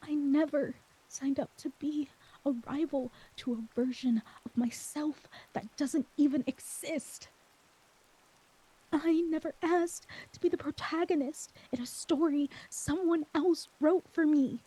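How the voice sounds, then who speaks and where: A woman performing an emotional ASMR monologue as an exhausted, anxious character, speaking softly and haltingly, often near a whisper. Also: sits high, fairly even intonation, low-quality recording. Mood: pained, pleading. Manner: slow, halting, vulnerable delivery. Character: exhausted, anxious woman crushed by others' expectations. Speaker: audio roleplay performer.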